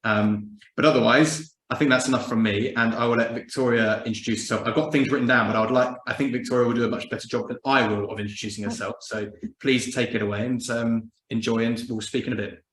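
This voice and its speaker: A man's voice: medium pitch, fairly even intonation, quick pace, hardly any pauses, fairly bright tone. A man hosting an online seminar, introducing the speaker in a rambling way with many fillers. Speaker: seminar host. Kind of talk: introducing a speaker on a video call. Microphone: video call. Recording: echoey room with faint noise.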